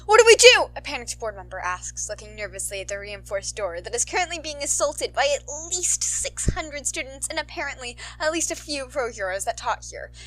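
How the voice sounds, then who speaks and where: A woman, an amateur narrator, reading fan fiction aloud in an expressive, informal style. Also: fairly high pitch, fairly quick, few pauses, low-quality recording. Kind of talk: animated fan fiction narration, casual asides.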